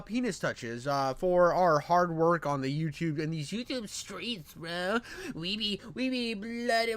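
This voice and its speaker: A man's voice: high-pitched, a bit bright. A man giving casual, profane reaction commentary in a crude, slangy, rambling way. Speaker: reaction video host.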